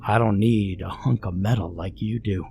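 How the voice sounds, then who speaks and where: A man voicing a mocking ancient god who is part devil, with a deep, flat voice. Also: moderate pace, creaky, slight background noise. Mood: amused. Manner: turns maniacal and wicked. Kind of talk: storytelling with acted dialogue.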